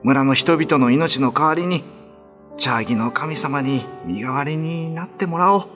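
An actor playing an earnest, heavy-hearted village husband, anguished and resigned, in a ghost-story radio drama. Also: male voice, fairly high pitch, measured pace, music in the background, lightly acted. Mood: sorrowful, resigned.

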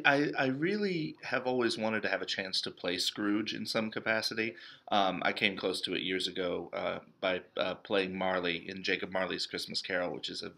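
A man in his thirties chatting casually as an interview guest, with thoughtful, measured phrasing. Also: deep voice, vocal fry, sharp tone. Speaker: interview guest, stage actor. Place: indoors, small hall with cinder-block walls.

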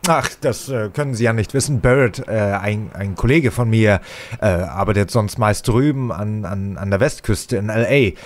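An actor playing a nervous, evasive young radio playwright who stammers and hesitates, in a German audio drama. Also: male voice, on the low side, moderate pace, hardly any pauses, natural voice. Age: young adult.

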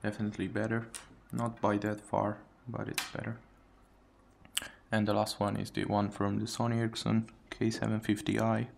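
A man giving casual hands-on commentary as a tech hobbyist, in a halting way with pauses between phrases. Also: on the low side, completely flat delivery, vocal fry, a little hiss or hum. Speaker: tech hobbyist demonstrating a phone mod. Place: indoors, at a wooden table.

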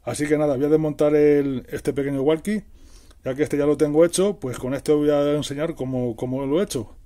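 Middle-aged man, very dark mellow voice. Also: a little high, fairly even intonation, a little fast. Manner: explanatory, repeats and rephrases while working. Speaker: DIY tutorial host. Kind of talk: casual hands-on tutorial narration.

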